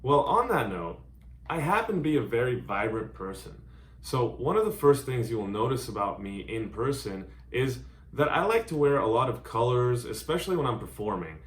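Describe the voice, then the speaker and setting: A man's voice: mid-range voice, big pitch swings, moderate pace. A man in his thirties, born in Hungary, giving an earnest, carefully worded introduction of himself as a DJ and music producer. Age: 30s. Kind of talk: scripted self-introduction to camera. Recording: roomy echo.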